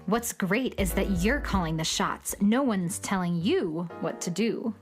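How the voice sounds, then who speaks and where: A woman's voice: mid-range voice, animated, measured pace, soft background music, natural voice. A woman playing a petulant, bratty girl troublemaker in a children's radio comedy, sneering and taunting with sarcasm. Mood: smug, resigned. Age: child figure.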